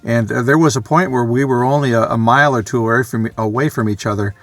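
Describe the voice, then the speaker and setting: Male vlogger, middle-pitched, unscripted and matter-of-fact, thinking out loud in a dry recording. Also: fast talker, no echo, faint music behind. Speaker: vlogger, amateur radio hobbyist. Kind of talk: casual unscripted vlog talk.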